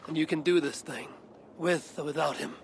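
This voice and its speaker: An actor playing a gruff, shrewd Viking warrior who speaks in a measured, commanding way in a video game. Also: male voice, on the high side, fairly even intonation. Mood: calm, assured. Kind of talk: video game dialogue acting. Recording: clear background noise and ambience.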